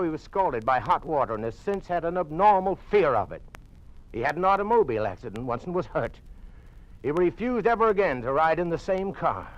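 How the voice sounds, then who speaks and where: A man's voice: fairly high pitch, lo-fi sound. An American man playing a middle-aged doctor in an old radio drama, defiant and accusatory in an emphatic delivery. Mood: grave indignation. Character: indignant male doctor defiantly challenging communist officials. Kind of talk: 1950s radio drama acting.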